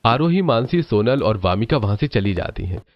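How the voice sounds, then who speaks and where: A man's voice: lowish voice, sing-song, moderate pace, no room sound. A man narrating a scripted cartoon family story as the storyteller, on a slightly dull recording.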